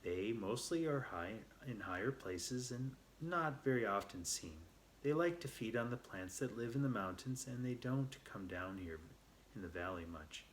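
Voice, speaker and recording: A man's voice: medium pitch, lively intonation, normal speed, a little hiss or hum, close to an everyday voice. Guy reading as a sure-of-himself desert adventure guide from a kids' storybook. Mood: explaining. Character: Solus, confident, knowing male desert adventure guide. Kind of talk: children's storybook read aloud.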